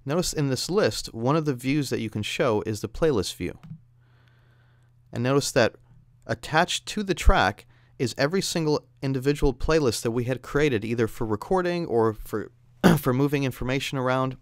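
A man narrates a software tutorial in a smooth, clear voice. He explains things step by step in a conversational way, and the sound is dry and close. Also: medium pitch, lively intonation, moderate pace, no room sound. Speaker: audio software instructor. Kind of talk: instructional screencast narration.

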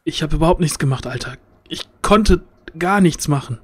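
A man's voice: on the high side, lively intonation, husky. Agitated man improvising in a roleplay. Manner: agitated, halting, repeats himself. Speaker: tabletop roleplaying player. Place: indoor home, video call. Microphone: headset.

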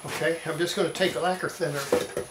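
A woman's voice: low voice, steady tone, fast talker. Older woman narrating in a noisy, roomy workshop. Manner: matter-of-fact, talking while working. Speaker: radio restorer demonstrating repairs. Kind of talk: casual hands-on workshop narration. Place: indoor home workshop. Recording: roomy workshop, loud sanding and rubbing noise.

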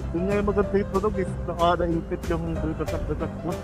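A man with a high voice and a Filipino accent banters casually over a rider's helmet intercom. Loud music plays over his talk. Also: fairly even intonation, fast talker. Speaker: motorcycle rider.

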